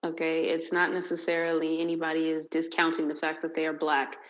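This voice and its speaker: A woman co-hosting a casual podcast discussion, probing with pointed questions in a gravelly voice. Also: deep voice, completely flat delivery, fairly quick. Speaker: podcast co-host. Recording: dull lo-fi recording.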